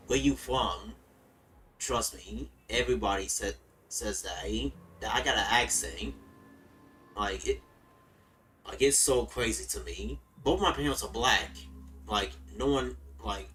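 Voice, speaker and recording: A man's voice: on the low side, pushed and bright, slight background noise. A man from the United States casually commenting while streaming a game, laid-back and rambling, often repeating words. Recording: streamer mic a bit distant with game music.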